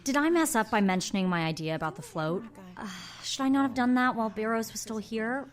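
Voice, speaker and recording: A woman's voice: fairly high pitch, brisk, natural voice. A woman playing an eager, friendly, slightly tentative young woman in an audio drama.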